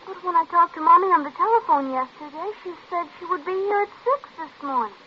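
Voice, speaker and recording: Little girl in an old radio play, super high voice, eager n pleading, muffled recording. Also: fast talker, slight background noise. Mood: worried, subdued. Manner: excitable, pleading, childlike. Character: eager, anxious little girl awaiting her parents. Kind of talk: 1950s radio drama acting.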